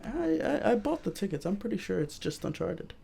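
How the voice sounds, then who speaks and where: A man's voice: high-pitched, sing-song, fairly quick, creaky, warm tone, husky. A man co-hosting a casual film review, laid-back and agreeable in his talk. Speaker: co-host.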